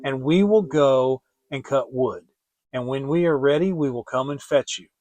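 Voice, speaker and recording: A man's voice: medium pitch, normal speed, darkish tone, dry recording, close to an everyday voice. A man voicing a cold, scornful stepmother in a storybook reading, commanding and emphatic. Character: cold, scornful, bossy stepmother in a fairy tale.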